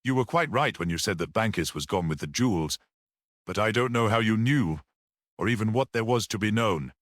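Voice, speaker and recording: A man's voice: lowish voice, animated, normal speed, a bit bright, dry recording, clean studio sound. A man voicing a curious, insistent young man in a story, probing and a little wry.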